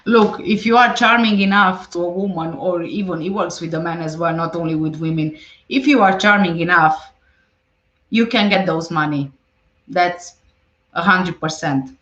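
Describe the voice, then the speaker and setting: A woman's voice: lowish voice, normal speed, a bit bright, reverberant, lo-fi sound. A woman hosting a casual livestream, reading viewer comments aloud and answering them conversationally. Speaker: livestream host. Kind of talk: casual livestream chat about a case.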